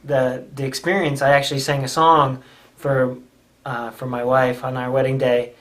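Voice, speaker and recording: Man, wedding DJ, interviewer, upbeat, affirming, mid-pitched voice. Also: flat delivery, moderate pace, fairly bright tone. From New York state. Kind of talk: casual interview hosting.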